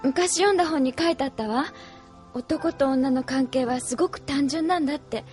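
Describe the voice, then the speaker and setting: A woman's voice: high voice, normal speed, a little mellow, soft background music, natural voice. An actress playing a troubled, wistful young woman in an anime audio drama, reflective, emotional and self-reproaching. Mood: wistful, resigned.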